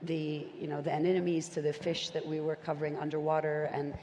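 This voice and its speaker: A woman's voice: sits low, flat delivery, a little fast, a little mellow. A middle-aged woman from Syria and Saudi Arabia speaking reflectively as a panel guest about her own life. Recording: reverberant hall with background noise.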